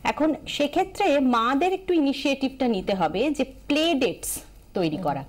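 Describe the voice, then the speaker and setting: A woman's voice: high voice, expressive, moderate pace. A woman with an Indian Bengali accent giving explanatory advice as a TV expert guest, emphatic, repeating key phrases and dropping in English terms.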